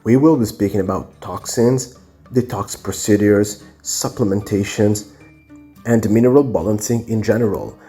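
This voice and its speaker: A man with an Italian accent talks in a low, mellow voice, hosting a solo podcast without a script. He hesitates often, filling gaps with "um" and restarting phrases. Soft music plays faintly behind him on a phone recording. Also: moderate pace. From Italy. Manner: hesitant, many fillers and restarts. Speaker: podcast host. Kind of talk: unscripted solo podcast talk. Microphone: cell phone.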